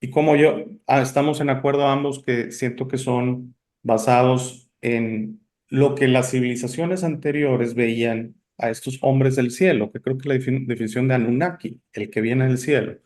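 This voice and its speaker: Chatty Italian-born interview host. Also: male voice, mid-range voice, fairly even intonation, moderate pace, hardly any pauses, darkish tone. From Italy. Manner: chatty, rambling with many fillers. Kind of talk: casual interview chat.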